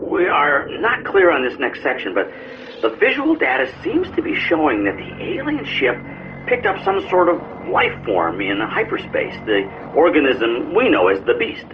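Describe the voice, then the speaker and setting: A man playing a sober military scientist in a sci-fi game, giving a measured, grave explanation. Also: on the high side, wildly swooping pitch, highs cut off. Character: male military scientist soberly reporting alien findings. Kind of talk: dramatic sci-fi game briefing. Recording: band-limited radio sound, music behind. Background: music.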